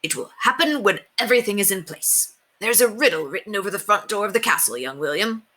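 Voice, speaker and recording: Lady doing a tiny proud knight from a storybook, pompous and formal, bright lively delivery. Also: mid-range voice, a little fast. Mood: solemn. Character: proud, confident tiny knight, adult man.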